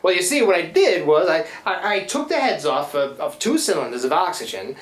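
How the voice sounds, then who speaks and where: Man playing a folksy, excitable old-time riverboat man. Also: on the high side, fairly quick. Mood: rambling, sly. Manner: folksy, stammering, colloquial. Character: scrappy, folksy boatman, excitable and cheeky. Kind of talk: live radio play acting. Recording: roomy live stage recording.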